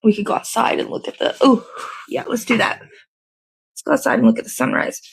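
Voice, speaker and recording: High-voiced woman chatting casually. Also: fairly even intonation, brisk, gravelly, slightly rough. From American South. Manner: slangy, playful, easygoing, thinking aloud. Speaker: host of a morning livestream show. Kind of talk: casual rambling livestream chat.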